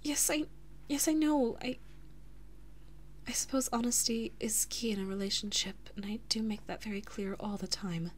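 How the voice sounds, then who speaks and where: Woman acting breathy, high-voiced, devoted anxious princess. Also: steady tone, a little hiss or hum, natural voice. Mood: flustered, reluctant. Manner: soft, breathy, halting, emotional delivery with frequent whispering. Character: devoted, anxious big-sister princess and lover, tender and self-reproaching. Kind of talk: intimate audio roleplay, ASMR-like.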